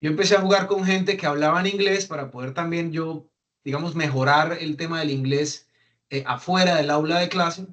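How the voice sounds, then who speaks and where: A young Colombian man with a Colombian accent and a voice set somewhat high for a man. He talks casually to a group, filling gaps with "eh" and restarting his phrases as he thinks. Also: moderate pace, a bit bright. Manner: conversational, many fillers and restarts, mimics English phrases. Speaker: guest speaker on migration. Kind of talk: casual talk to an audience.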